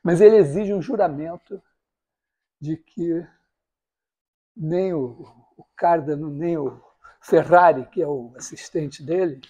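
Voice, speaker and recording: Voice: medium pitch, slow pace, pauses often, mellow, breathy edge. A university mathematics lecturer with a Brazilian accent gives an informal, storytelling lecture in a rambling, digressive, self-correcting way.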